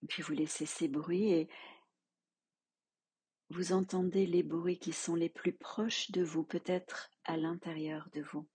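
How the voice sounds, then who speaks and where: A woman teaching yoga nidra, leading a guided relaxation in a soft, calm, measured way with long pauses. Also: on the low side, husky.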